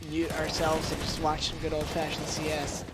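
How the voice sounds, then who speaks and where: A man with a high-pitched, slightly nasal voice, commentating a game with chatty, analytical remarks. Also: completely flat delivery, normal speed, heavy background noise. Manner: analytical, chatty, joking asides. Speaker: esports commentator.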